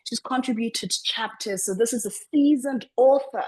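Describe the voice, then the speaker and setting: A woman coaching public speaking over a video call, talking in a deliberately lowered register and often repeating words as she restarts. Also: darkish tone, poor audio. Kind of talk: online coaching session.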